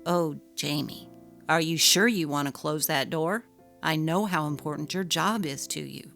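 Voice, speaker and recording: Woman acting as supportive husband, gentle, concerned, lowered pitch, dramatic story reading, music underneath. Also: unhurried, vocal fry, heavily acted.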